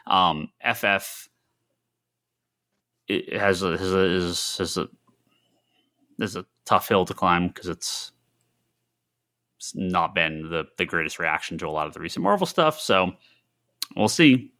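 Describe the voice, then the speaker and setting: A man chatting casually as a podcast co-host, thinking aloud in a halting, rambling way. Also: low voice, animated, bright, forceful tone. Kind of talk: casual chat about comics and films.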